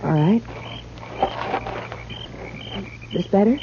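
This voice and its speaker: A woman's voice: mid-range voice, quick pace, natural voice. An actress playing a guarded, uneasy young saloon woman, evasive and hesitant, in an old-time radio western. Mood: nervous, evasive. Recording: muffled, noisy old radio recording.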